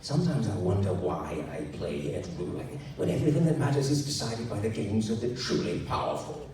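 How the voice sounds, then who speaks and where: A man's voice: on the low side, animated, fairly quick, a little mellow, close to an everyday voice. Guy doing a formal stiff emperor from an audiobook, earnest n fed up, distant noisy room. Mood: aggrieved. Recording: echoey noisy room, recorded from far off.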